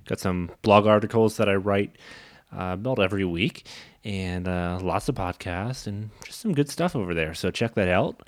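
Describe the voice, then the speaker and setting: A man's voice: low-pitched, normal speed, no echo. A man hosting a podcast in a casual, chatty, informal style. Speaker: podcast host. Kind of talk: casual podcast introduction and interviewing.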